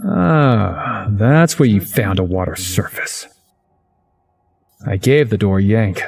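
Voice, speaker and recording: A man's voice: on the low side, slow, vocal fry, darkish tone, faint music behind, full character voice. A man voicing a haunted, wry young man who narrates a visual novel game in a dramatic, introspective way. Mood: uneasy.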